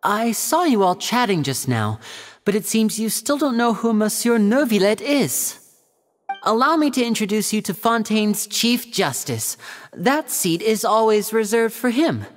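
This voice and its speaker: A woman's voice: fairly high pitch, big pitch swings, unhurried. A woman voicing a polished, charming young male stage magician in a video game, polite and theatrical like a showman. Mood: gracious. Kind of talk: video game character acting.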